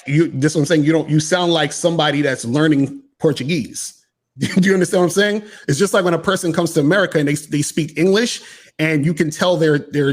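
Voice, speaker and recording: Insistent man who keeps repeating his point. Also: fairly high pitch. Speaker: dating coach. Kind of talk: argumentative casual chat.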